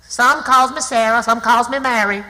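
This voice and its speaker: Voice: medium pitch, steady tone, moderate pace, pushed and bright, lightly acted. An actor playing a Southern country boy who poses as a timid, polite little girl in radio theatre, hesitant and stammering. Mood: flustered. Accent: American South. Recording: long reverberant hall sound.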